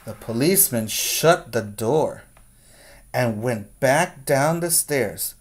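A man in his forties reads a picture book to small children at a slow pace. His delivery is deliberate and expressive, like a teacher making sure the class follows along. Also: mid-range voice. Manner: deliberate, expressive, checks in with listeners. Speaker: preschool teacher. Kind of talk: read-aloud storytelling for preschoolers. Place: small plain room indoors. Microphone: webcam at arm's length.

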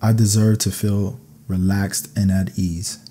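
Deep, mellow man reading calming affirmations. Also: a little hiss or hum. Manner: calm, soothing, measured delivery. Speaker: narrator of guided affirmations. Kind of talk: scripted sleep meditation affirmations.

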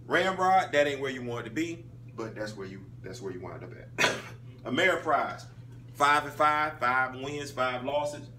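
A man in his thirties gives a casual sports recap into a phone in a small room, punching names out loud and then dropping into quiet asides. His voice is bright and forceful. The phone sits a little off from him, with a faint hum underneath. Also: unhurried. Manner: animated, emphatic, swings between loud calls and low asides. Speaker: flag football league host. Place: small indoor room, in front of a wall poster. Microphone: phone camera at arm's length. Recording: phone audio, a bit distant, faint hum.

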